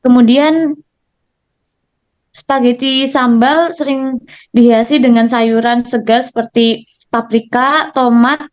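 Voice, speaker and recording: Young female student, fairly high voice, reads then chats, dull lo-fi recording. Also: a little mellow. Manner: casual asides, many "eh" fillers. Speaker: student presenter. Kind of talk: class presentation.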